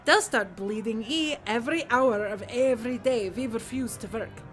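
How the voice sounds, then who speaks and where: A woman voicing a blunt, excitable alien hog union striker in an audio drama. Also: on the high side, normal speed, soft background music, close to an everyday voice. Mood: fiery.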